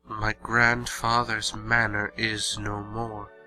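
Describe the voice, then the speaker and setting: A man's voice: on the low side, monotone, takes it slowly, bright, forceful tone, faint music behind, full character voice, low-quality recording. A man playing a proud, stern ancient vampire lord in a fantasy audio drama, speaking in a grave, controlled way. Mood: cold, guarded.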